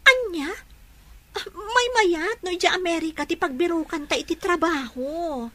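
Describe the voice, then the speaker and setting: A woman's voice: extremely high pitch, a little slow, bright, forceful tone, no room sound, exaggerated voice. An actress playing an outspoken, eager young woman in a radio drama, with a Filipino Ilocano accent. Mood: insistent.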